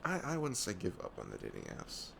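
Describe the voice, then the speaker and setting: A young man playing a caring, reassuring best friend in an intimate ASMR roleplay, soft-spoken and earnest, with audible noise in the recording. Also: on the low side, huge pitch swings, fairly quick, full character voice. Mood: sympathetic, candid. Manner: soft-spoken, often breathy, hesitant, earnest. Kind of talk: ASMR roleplay acting, intimate casual talk.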